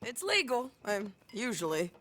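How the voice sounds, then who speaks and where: An actress playing a brash, tough, sly frontier bounty hunter in a western game, with a Southern American accent and sassy, drawling, folksy speech. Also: female voice, on the high side, very animated, normal speed, noisy background. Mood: amused, friendly. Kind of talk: cinematic western game acting.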